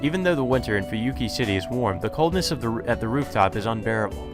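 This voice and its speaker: A man's voice: mid-range voice, expressive, fast talker. A man narrating a let's play, reading a visual novel aloud and adding casual commentary. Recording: music behind the voice.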